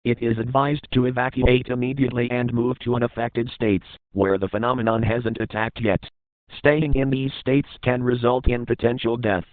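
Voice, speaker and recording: A man's voice: medium pitch, little pitch movement, moderate pace, no room sound. Phone-like male emergency announcer. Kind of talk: scripted emergency broadcast announcement. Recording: narrow phone-like band, dry.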